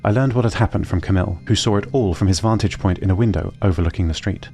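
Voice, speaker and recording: A man narrating a scripted audio drama in a calm, measured way. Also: low voice, creaky, soft background music.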